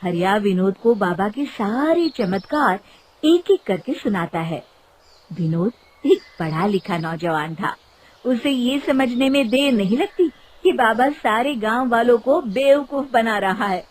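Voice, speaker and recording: A woman's voice: fairly high pitch, lively intonation, measured pace. A woman reading scripted narration for a moral storybook cartoon.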